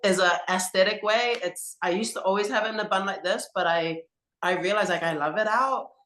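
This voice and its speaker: A woman rugby coach answering interview questions in a slow, earnest, reflective way. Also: mid-range voice, fairly bright tone. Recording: roomy echo.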